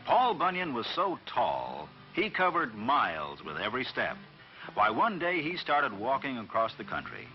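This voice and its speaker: A man narrating a scripted children's folk tale in a dramatic, expressive storytelling manner. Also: mid-range voice, normal speed. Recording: dull vintage recording, faint music behind.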